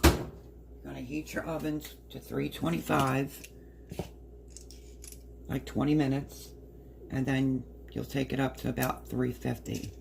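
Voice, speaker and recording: Hoarse, gravelly woman cooking at home. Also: very deep voice, little pitch movement, unhurried, pauses often, noisy background. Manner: chatty, explains step by step while working. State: hoarse, partly lost voice. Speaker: home cook. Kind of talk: casual cooking demonstration. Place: home kitchen.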